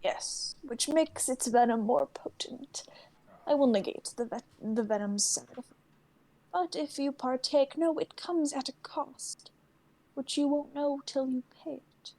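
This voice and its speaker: A woman's voice: high-pitched, measured pace, warm tone, poor audio. A woman playing a grave, mysterious oracle who gives warnings in a dramatic fantasy reading. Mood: solemn.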